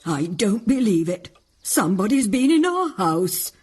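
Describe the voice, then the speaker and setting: A woman voicing a prim, easily shocked mother bear in a storybook, with a breathy voice. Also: mid-range voice, very animated, measured pace, darkish tone. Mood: scandalised.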